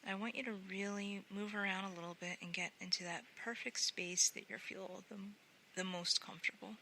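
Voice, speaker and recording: A woman guiding a sleep meditation in a gentle, soothing, unhurried way, often softening into breathy near-whispers. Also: medium pitch, steady tone, a little hiss or hum, rough recording. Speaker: meditation guide.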